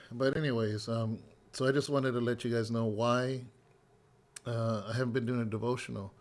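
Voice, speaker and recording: A man with a slightly hoarse, raspy voice, still getting it back after a cold. He speaks at a plain middle pitch, talking loosely and personally to his congregation, with lots of "um" and "you know". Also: normal speed. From California. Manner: relaxed, rambling storytelling with many fillers. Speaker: pastor. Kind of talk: casual spoken devotional update.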